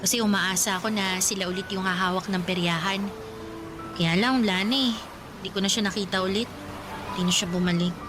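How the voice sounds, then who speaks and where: A woman's voice: mid-range voice, steady tone, brisk, music in the background, close to an everyday voice. A woman playing a sentimental, teasing older girl cousin in a radio romance drama, confiding and dramatic. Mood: wistful, regretful.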